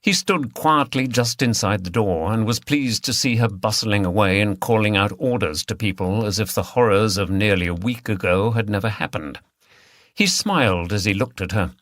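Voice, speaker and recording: A man's voice: on the low side, moderate pace, fairly bright tone, dry recording, clean studio sound. A man reading scripted third-person story narration as an audiobook narrator.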